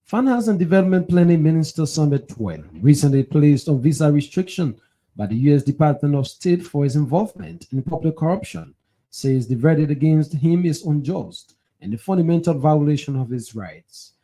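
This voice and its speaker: Mellow, muted male newsreader. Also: a little high, animated, moderate pace. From Liberia. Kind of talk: scripted news narration.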